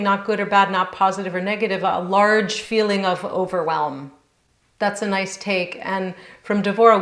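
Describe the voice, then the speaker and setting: A woman teacher answering questions in an informal, thoughtful, halting way, often checking with "right?", on a video call. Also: medium pitch, a bit bright. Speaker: yoga teacher. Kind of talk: informal question-and-answer chat after class. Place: indoor room on a group video call. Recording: roomy, echoing video call sound.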